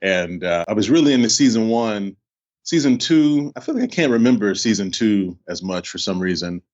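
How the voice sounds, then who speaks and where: A man's voice: on the low side, expressive, moderate pace, darkish tone. A man hosting a podcast, chatting casually and informally, often repeating himself as he goes. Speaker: podcast host. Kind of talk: casual interview chat. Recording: slightly dull, lo-fi microphone.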